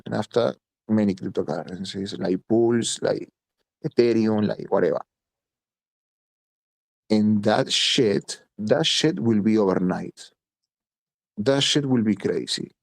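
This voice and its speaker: A man's voice: on the low side, vocal fry, a little mellow, no room sound. A man from Italy speaking English as a second language, blunt and emphatic, swearing and repeating points in a casual voice chat. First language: Italian. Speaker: voice chat participant.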